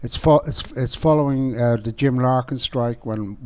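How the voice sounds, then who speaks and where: A man talks casually as a panelist on a radio show. His voice sits at a medium pitch. He is conversational, chipping in and repeating phrases for emphasis. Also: moderate pace, a little mellow. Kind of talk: casual studio discussion. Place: radio studio.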